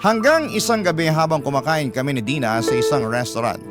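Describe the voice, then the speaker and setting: A man's voice: on the high side, animated, a little fast. A man with a Filipino accent narrating a scripted first-person story in a classic radio style. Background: prominent background music.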